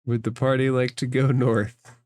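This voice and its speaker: A man's voice: mid-range voice, level tone, normal speed, a little mellow, no echo. A man acting as game master, narrating a fantasy roleplaying game in formal phrases and putting questions to the players.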